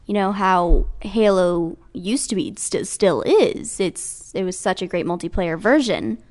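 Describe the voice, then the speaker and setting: A woman's voice: mid-range voice, measured pace, a little mellow. A woman co-hosting a gaming podcast in casual chat, thoughtful and a little hesitant, with fillers. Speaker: podcast co-host.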